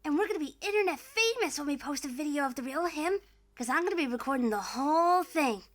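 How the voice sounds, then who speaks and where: A woman voicing a bossy, excitable young mouse child in an audio drama, talking in an impatient, emphatic way. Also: sits high, fast. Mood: bossy, hushed. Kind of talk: audio drama cartoon acting.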